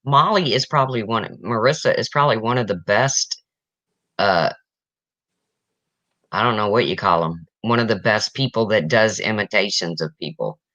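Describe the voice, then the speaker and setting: A woman from the US Midwest with a deep, raspy voice, chatting bluntly as a podcast co-host. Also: normal speed, a bit bright, dry recording, lo-fi sound. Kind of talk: casual chat.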